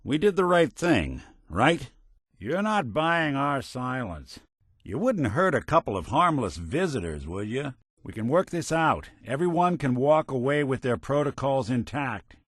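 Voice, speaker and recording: An actor playing a world-weary, wry but kindhearted android detective in a video game, with hardboiled old-fashioned slang. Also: male voice, medium pitch, big pitch swings, unhurried, a bit bright, breathy edge, no room sound, clean studio sound, full character voice. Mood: measured.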